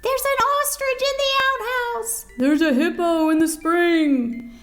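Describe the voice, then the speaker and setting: Woman voicing flustered villagers, high and exclaiming. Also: fairly even intonation, takes it slowly, fairly bright tone, faint music behind, exaggerated voice. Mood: alarmed. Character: alarmed, flustered villagers crying out in panic.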